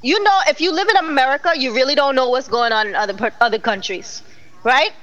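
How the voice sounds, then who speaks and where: A middle-aged woman hosting a casual online talk show, animated and exclaiming, laughing often. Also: high voice, fast talker, low-quality recording. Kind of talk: casual live-stream chat.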